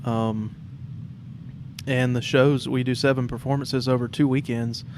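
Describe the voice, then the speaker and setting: A man's voice: medium pitch, little pitch movement, measured pace, noisy background. A man hosting a podcast in a casual, conversational interview style. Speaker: podcast host.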